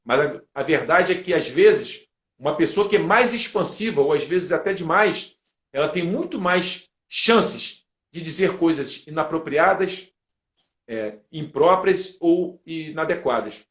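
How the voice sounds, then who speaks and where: A man's voice: a little high, animated, measured pace, a bit bright. A Brazilian man answering as an interview guest, explaining methodically like a teacher and building his points in lists. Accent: Brazilian Portuguese. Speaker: guest, public speaking teacher and lawyer. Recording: roomy, echoing sound.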